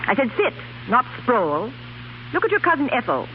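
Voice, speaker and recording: An actress playing a strict, haughty aunt, imperious and clipped, in a 1930s radio drama. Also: female voice, a little high, wildly swooping pitch, moderate pace. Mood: stern. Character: haughty, strict upper-class English aunt. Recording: dull, hissy 1930s radio recording.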